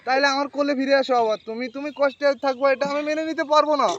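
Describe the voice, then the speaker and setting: A man's voice: very high-pitched, fairly even intonation, racing, muffled recording, very noisy. A man playing a jilted young village boyfriend on a prank call, pleading, repetitive and melodramatic. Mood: lovesick, pleading. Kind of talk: prank phone call acting.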